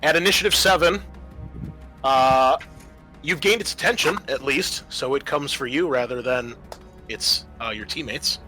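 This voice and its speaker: A man's voice: medium pitch, unhurried, pushed and bright, low-quality recording. A man running a tabletop role-playing game, narrating the action theatrically and casually. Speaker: game master. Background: music.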